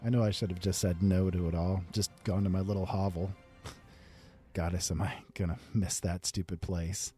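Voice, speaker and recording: Low, mellow male storyteller. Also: fairly even intonation, normal speed, faint music behind. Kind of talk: first-person story narration.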